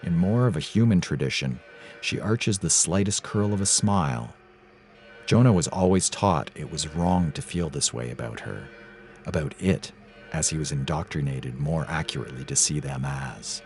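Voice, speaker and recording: A man's voice: very deep voice, expressive, normal speed, darkish tone. A man giving dramatic scripted narration of a science fiction story. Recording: music clearly underneath. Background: music.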